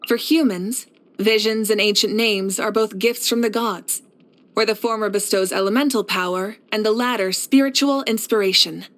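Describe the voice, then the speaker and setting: A woman's voice: medium pitch, steady tone, close to an everyday voice. A woman playing a composed, assured fire leader. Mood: earnest, assured. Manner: assured, measured, encouraging. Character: confident, composed, kindly fire archon war leader. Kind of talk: scripted video game character voice lines.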